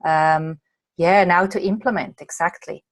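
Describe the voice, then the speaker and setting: A slightly hoarse woman from Australia, a coach answering viewer questions in a chatty way during a live online masterclass late at night. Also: fairly low pitch, fairly even intonation, measured pace, dry recording. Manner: chatty, reads out viewer comments and answers them. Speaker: coach and presenter.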